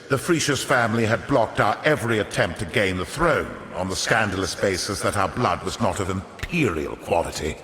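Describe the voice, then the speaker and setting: An actor playing a wily, vain, centuries-old merchant lord in a video game, pompous and theatrical, with ornate aristocratic diction. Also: male voice, mid-range voice, fairly bright tone. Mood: wry, disdainful. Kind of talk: scripted video game character monologue. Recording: echoey hall with long reverb.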